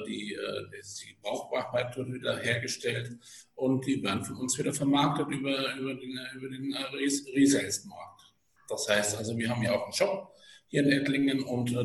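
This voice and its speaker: A man of about fifty introducing himself as an interview guest, speaking haltingly and restarting his phrases. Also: medium pitch, gravelly, slight background noise. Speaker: podcast guest, office employee in leasing. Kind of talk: halting spontaneous self-introduction. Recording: roomy, echoing indoor sound.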